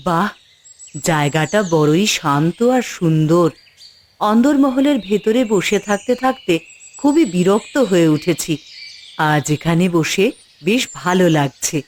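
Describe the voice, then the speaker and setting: A woman playing a gentle, devoted young queen in a fairy-tale cartoon, speaking softly and courteously with theatrical delivery. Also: lowish voice, expressive, measured pace, slight background noise. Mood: delighted.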